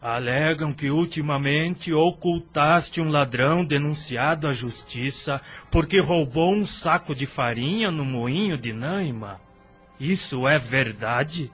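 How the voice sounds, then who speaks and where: An actor playing a stern father questioning his son in a radio drama, measured and admonishing, with a husky voice. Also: fairly high pitch, a bit bright, faint music behind, full character voice. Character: stern questioning father, head of a family council.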